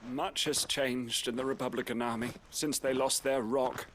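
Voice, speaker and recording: A man's voice: medium pitch, fairly even intonation, normal speed, a bit bright, slight background noise, natural voice. An actor playing a polite male servant and agent in a fantasy video game, speaking in a courteous, formal manner. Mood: composed, courteous. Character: polite, well-dressed male servant agent, composed scout.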